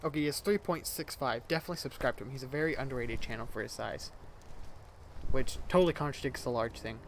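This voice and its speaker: A man's voice: mid-range voice, fast talker, a little hiss or hum, poor audio. A man of twenty rambling casually to his viewers, correcting himself as he goes, with a voice he calls childish. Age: 20s. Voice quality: self-described childish-sounding voice. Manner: rambling, self-correcting, chatty. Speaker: YouTuber. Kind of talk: casual rambling vlog chat.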